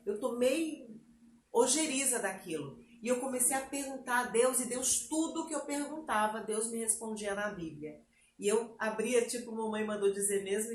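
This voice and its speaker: A lively Brazilian woman with a Bahian lilt tells her personal testimony, slipping into her own past voice as she recounts it. Her voice sits fairly high, and the room around her rings with a noticeable echo over a faint background hiss. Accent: Bahian Brazilian Portuguese. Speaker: interview guest. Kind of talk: personal testimony, casual chat.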